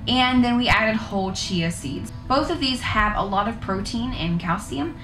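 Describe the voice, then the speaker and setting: A woman's voice: a little high, lively intonation, moderate pace. Woman, 30s, homestead video host, casual and chatty; roomy living-room sound, background music. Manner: chatty, conversational, with fillers and restarts. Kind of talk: casual how-to talk to camera. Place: living room, seated on a couch.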